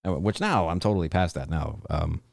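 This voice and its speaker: A man with an extremely low, gravelly voice and a husky edge talks loosely on his own podcast stream. He wanders through his thoughts with fillers and false starts. The sound is dry and close to the mic. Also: fairly quick, dry recording. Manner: rambling, hesitant, many fillers and restarts. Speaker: podcast host. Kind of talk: casual livestream chat.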